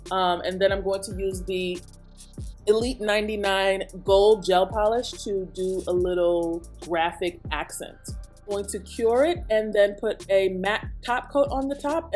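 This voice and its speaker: A woman beauty vlogger giving a steady voice-over walkthrough of the products she uses. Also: medium pitch, measured pace. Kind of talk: scripted voice-over narration. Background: soft music.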